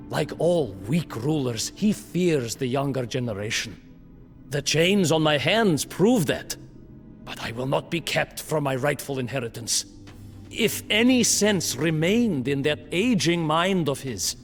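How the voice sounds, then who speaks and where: An actor playing a proud, bitter medieval Lithuanian prince who narrates his story theatrically in a video game cutscene. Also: medium pitch. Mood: bitter, defiant. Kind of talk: dramatic scripted historical narration in character.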